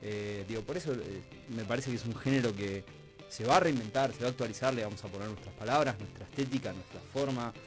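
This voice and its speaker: A man's voice: on the low side, fast, faint music behind, poor audio. A man with an Argentine Rioplatense accent hosting a conversational radio interview, reflective, building his points in short repeated phrases. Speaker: radio host. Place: radio studio.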